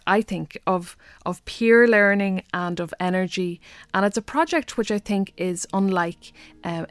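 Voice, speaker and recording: A woman from Donegal with a Donegal Irish accent, a native Irish speaker and poet, speaking thoughtfully with soft hesitations. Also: on the low side, dry recording, clean studio sound. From Donegal, Ireland. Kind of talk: interview monologue.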